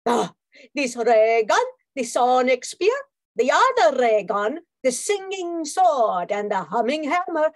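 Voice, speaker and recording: A woman's voice: high voice, sing-song, slow pace, dry recording, clean studio sound, heavily acted. A woman playing a scheming, theatrical space villainess in an amateur radio play, menacing in manner. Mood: gloating, menacing. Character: scheming slinky female space villainess with weapons arsenal. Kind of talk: amateur radio play acting, old-time radio parody.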